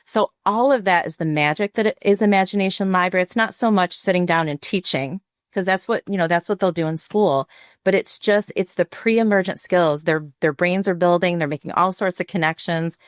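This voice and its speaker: Woman, American, notably low register, explanatory interview guest, very dry recording. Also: brisk, dry recording. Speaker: podcast guest, library program director. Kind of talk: conversational podcast interview.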